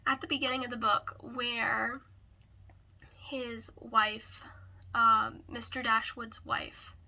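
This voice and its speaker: A woman who is hoarse and raspy from a cold gives a casual book review, often hesitantly searching for words. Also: a little high, level tone, slow, sharp tone.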